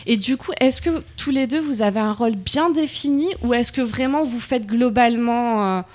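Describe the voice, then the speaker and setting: A woman's voice: a little high, normal speed, a little mellow. A woman hosting a casual radio interview, chatty and reassuring with her guests. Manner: reassuring, chatty, reacts readily.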